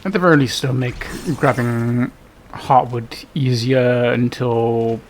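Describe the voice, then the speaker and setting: A man's voice: medium pitch, steady tone, slow, slight background noise. A man giving casual, unscripted gameplay commentary, musing to himself as he plays. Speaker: gameplay video host. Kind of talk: casual let's play commentary.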